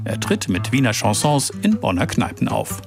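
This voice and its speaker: A male reporter reading a scripted news feature in a measured, even delivery. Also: mid-range voice, over music.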